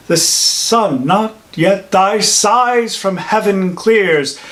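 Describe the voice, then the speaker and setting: Casting: male actor as elderly holy friar for classical stage monologue. Higher male voice, unhurried theatrical verse, sage and admonishing. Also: a bit bright. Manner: deliberate, measured, theatrical verse delivery. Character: old holy friar, wise, reflective, scolding mentor.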